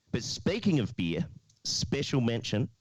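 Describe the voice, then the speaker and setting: A man's voice: mid-range voice, wildly swooping pitch, slow pace, no echo. A man co-hosting a podcast with casual, tongue-in-cheek banter and a cheeky manner. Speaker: podcast co-host.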